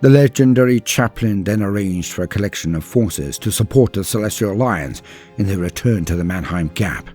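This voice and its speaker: A man delivering dramatic scripted lore narration in a solemn, grave and measured storytelling manner. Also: low-pitched, a little mellow. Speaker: narrator and host of a lore channel.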